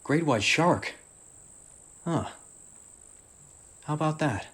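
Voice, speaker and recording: A man's voice: fairly high pitch, expressive, slow pace, noisy background. A man playing an earnest superhero and loving father in a radio play, with music under the voice. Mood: cheerful, homely.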